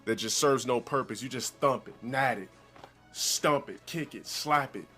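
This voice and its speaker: A man with a deep voice ranting insults at a critic in a played-back clip. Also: unhurried, sharp tone. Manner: insulting, boastful rant. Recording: played clip with faint music.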